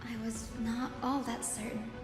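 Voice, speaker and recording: An actress voices a young healer heroine from a video game. Her high voice is gentle, polite and sincere. It echoes in a large space over clear music. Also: level tone, a little slow, lightly acted. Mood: humble, hopeful. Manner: soft, earnest, polite. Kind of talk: English video game voice acting. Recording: echoey game hall reverb.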